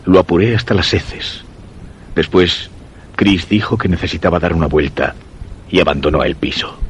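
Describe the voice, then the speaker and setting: An actor playing a weakened, dread-stricken husband narrating a macabre tale in grave, measured Castilian Spanish for a radio horror drama. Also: male voice, low voice, a little hiss or hum, lightly acted. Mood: grim, weary. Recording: dull radio sound with music underneath.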